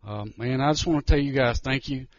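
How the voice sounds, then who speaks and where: A man giving a nervous, halting missionary testimony in church, often restarting his sentences. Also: mid-range voice, dry recording, muffled recording. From Georgia, US.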